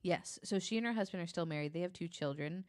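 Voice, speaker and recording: A woman guest on a podcast, casually recounting a case in conversation, often pausing mid-thought. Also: fairly low pitch. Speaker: podcast guest telling a true-crime case.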